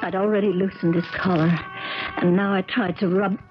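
An actress playing an anxious, caring niece who narrates and acts in a 1970s radio mystery. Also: female voice, mid-range voice, steady tone, moderate pace, over loud music, close to an everyday voice. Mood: tense storytelling. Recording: muffled old radio recording, crackle.

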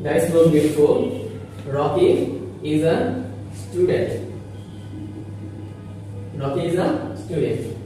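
A young man teaching a beginner English grammar lesson at a whiteboard, explaining slowly with many fillers and repetitions. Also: fairly high pitch, mellow, soft background music. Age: young adult. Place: small indoor room with whiteboard. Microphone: camera mic from a distance. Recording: echoey room, far from mic.

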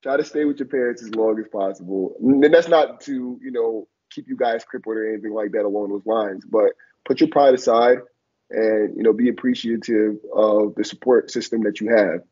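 A man's voice: fairly low pitch, moderate pace, dark tone, husky. A young man from Liberia raised in Philadelphia, a former college football player, talking reflectively with many fillers as a guest on a video call. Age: young adult. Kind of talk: casual interview on a video call. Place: small room indoors. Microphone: video call, laptop camera. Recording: dull lo-fi video call audio.

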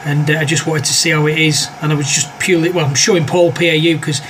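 A British man with a fairly high voice chats casually as a ham radio operator. Faint noise sits behind him in the recording. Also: fairly even intonation, fast talker, fairly bright tone.

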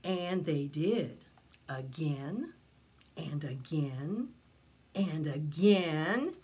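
A woman's voice: low-pitched, expressive, extremely slow, mellow, slightly rough. A middle-aged woman reading a picture book aloud to preschoolers, expressively, stretching out words for young listeners. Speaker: library storytime reader. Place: indoors, in front of a decorated backdrop.

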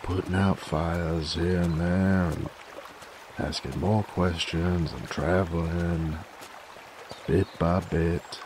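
Werewolf bounty hunter , male actor for ASMR roleplay: extremely low, slow Southern or Western drawl, teasing and dominant, water ambience behind. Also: background noise. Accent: American Southern or Western drawl. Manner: folksy, drawling, wry, unhurried.

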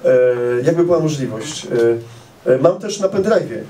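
A middle-aged man, an author at a public meeting, answering audience questions in a measured way with frequent hesitations, speaking into a handheld mic. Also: fairly high pitch, expressive, dark tone. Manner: measured, many "e" fillers, precise. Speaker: author at a meet-the-author event. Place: indoor event hall with audience. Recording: roomy hall sound, camera some distance off.

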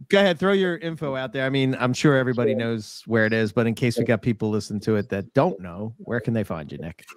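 A man's voice: medium pitch, expressive, fast, no room sound, clean studio sound. A man in his late forties hosting a podcast over a video call, easygoing and laughing often. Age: 40s. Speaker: podcast host. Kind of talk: casual chat. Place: home room with bookshelves. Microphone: studio mic with headphones.

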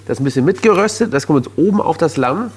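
A man's voice: fairly high pitch, lively intonation, quick pace, a little mellow. A man in his thirties hosts a cooking show with a somewhat raised voice, talking in a chatty, joking way as he explains each step at the stove. He is recorded on a lapel mic in a studio kitchen set, with a light layer of noise behind him. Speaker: TV chef and host. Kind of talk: casual cooking show chat.

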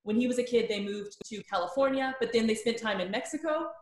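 A woman in her thirties hosting a video-call conversation as a course instructor, chatty and thinking aloud with quick asides. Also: a little high, reverberant, slight background noise. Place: living room with bookshelves. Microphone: laptop webcam on a video call.

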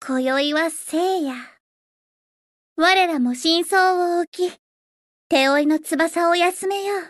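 A woman voicing a teenage gothic idol girl in a Japanese game, grandiose and sweetly shy, switching between pompous declarations and soft plain talk. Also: very high-pitched, slow pace, dry recording, clean studio sound. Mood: playful, dramatic.

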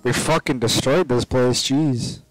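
A man's voice: mid-range voice, a little slow, faint music behind, poor audio. A man giving casual, sweary commentary while playing a video game. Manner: animated, sweary, prone to yelps. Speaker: gamer, co-player. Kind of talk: casual let's play commentary.

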